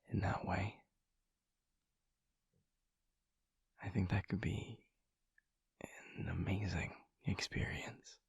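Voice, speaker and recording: A man playing a gentle, devoted vampire lover in an intimate audio roleplay, speaking in a breathy, husky hush with slow, halting, tender pauses, close to the microphone. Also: deep voice, no room sound, heavily acted. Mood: tender, careful. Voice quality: breathy, husky, often whispering. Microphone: close microphone, dry.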